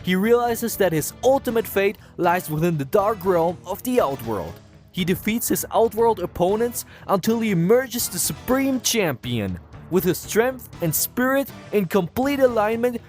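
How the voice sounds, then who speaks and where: A man's voice: high voice, darkish tone. A man reading a dramatic scripted narration.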